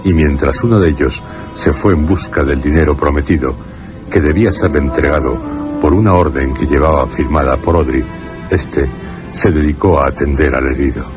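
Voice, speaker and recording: A man's voice: a bass voice, moderate pace, music in the background. A man with an accent from Spain narrating a scripted radio drama.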